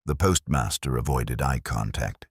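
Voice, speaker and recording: A man reading scripted narration of a detective mystery in a measured, literary storytelling manner. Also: a bass voice, breathy edge, no echo, clean studio sound.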